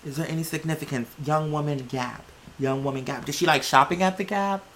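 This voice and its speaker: A phony TV psychic, put on by a vlogger in mockery, speaking in slow, halting pronouncements. The delivery is solemn and theatrical, pausing over each vision, with a bright edge. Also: medium pitch, a little hiss or hum, pitched below the performer's own voice, close to an everyday voice. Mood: solemn, searching.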